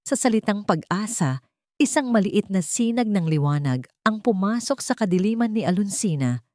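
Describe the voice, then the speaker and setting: A woman's voice: mid-range voice, animated, moderate pace, a little mellow, no room sound, studio quality. A woman reading a scripted, dramatic story as its narrator.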